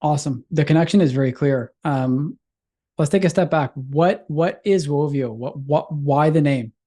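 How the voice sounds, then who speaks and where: A man's voice: fairly high pitch, moderate pace, warm tone. A man hosting an interview, asking questions in a friendly way with light jokes. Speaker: interviewer, executive director.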